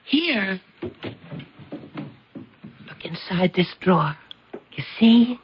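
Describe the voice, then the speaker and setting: A woman's voice: mid-range voice, big pitch swings, drawn-out words, a little hiss or hum. An actress playing a grieving, stubborn young working woman in old-time radio drama, hesitant yet defiant. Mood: vengeful. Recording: dull lo-fi radio recording.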